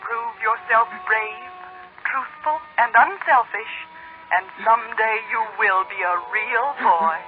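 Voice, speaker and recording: A woman's voice: on the high side, slow. A woman playing a gracious, solemn fairy in 1930s radio drama, speaking in a stately, formal way. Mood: solemn, benevolent. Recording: muffled 1930s radio broadcast, music behind.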